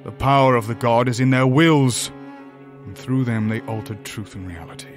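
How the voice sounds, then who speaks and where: An actor playing a grave, ancient demon from a higher dimension in a horror audio drama, speaking formally and deliberately. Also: male voice, faint music behind, lightly acted. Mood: solemn. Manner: formal, deliberate, solemn, eloquent.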